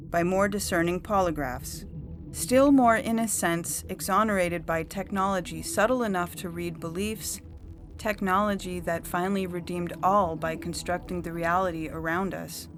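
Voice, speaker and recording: Casting: woman as unyielding female judge for sci-fi audio fiction, formal lecturing delivery, prominent music bed. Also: lowish voice. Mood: lecturing, certain. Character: coldly official female judge, zealous about majority rule. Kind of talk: dramatized science fiction story reading. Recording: loud music bed under the voice.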